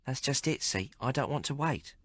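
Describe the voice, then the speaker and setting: A man's voice: medium pitch, animated, normal speed, a bit bright, slightly rough, dry recording, studio quality, pitched above the performer's own voice. A man reading aloud and voicing a coarse, fiery young female prostitute. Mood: brazen. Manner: coarse, bold, mocking.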